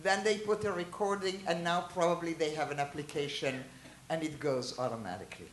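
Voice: mid-range voice, fairly quick, a little hiss or hum. A teacher from Israel, raised speaking Hebrew and Hungarian, giving a chatty informal talk and asking the listeners questions. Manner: chatty, asks the listeners questions, animated asides. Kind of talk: informal lecture to a small group. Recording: roomy echo.

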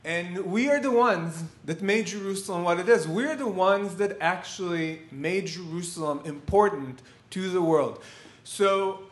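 This is a boastful, proud narrator voice, put on by a man mid-lecture. It is assertive and dismissive, its pitch sitting a little high. The sound rings in a roomy hall. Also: measured pace. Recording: echoey hall.

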